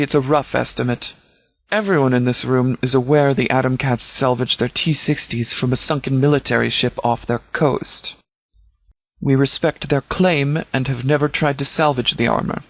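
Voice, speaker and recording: A man playing a stern, composed sheriff in a video game, speaking in a measured, formal, authoritative way. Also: mid-range voice, a bit bright, no echo, lightly acted. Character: stern, composed male sheriff leading a post-apocalyptic militia. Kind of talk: scripted video game dialogue.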